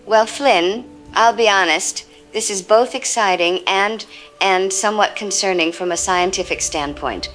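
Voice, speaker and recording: A woman's voice: medium pitch, unhurried, fairly bright tone, close to an everyday voice, poor audio. An actress playing a cautious, frank female scientific analyst, careful and explanatory in a news interview. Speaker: expert analyst guest. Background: music.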